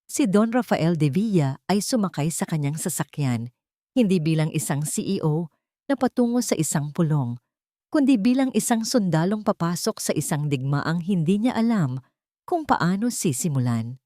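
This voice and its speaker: A woman with a Filipino accent narrates a scripted melodrama. Her voice sits a little low yet moves through a very animated range of pitch. The recording is dry and close, with little room sound. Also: moderate pace, dry recording. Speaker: story narrator.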